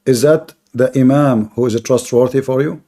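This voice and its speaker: A man hosting an online debate with a mellow, warm voice. He presses his opponent with the same questions over and over, his grammar non-native. He is heard over a video call. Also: medium pitch, normal speed. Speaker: debate host.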